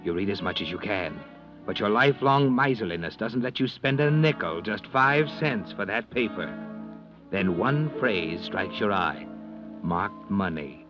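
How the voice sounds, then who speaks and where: Music runs behind muffled vintage radio sound. a sardonic American mystery narrator in a low voice. He is deliberate and mocking, speaking as an ominous all-knowing storyteller. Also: animated. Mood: ominous, suspenseful. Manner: mocking, deliberate, addresses characters as "you". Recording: dull lo-fi vintage radio recording.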